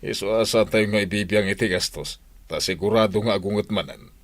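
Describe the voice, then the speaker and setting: A man playing a calm, conciliatory older village father in an Ilocano radio comedy drama, reasoning mildly. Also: on the low side, fairly quick, no room sound, close to an everyday voice.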